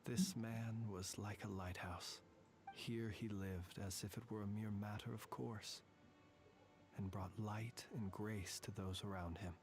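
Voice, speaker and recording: An actor playing a calm male soldier who speaks in a measured, solemn way. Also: lowish voice.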